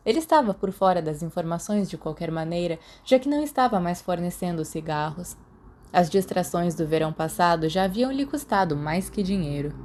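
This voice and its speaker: A woman's voice: on the low side, a little mellow. A Brazilian woman reading a story aloud as scripted audiobook narration. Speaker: podfic narrator.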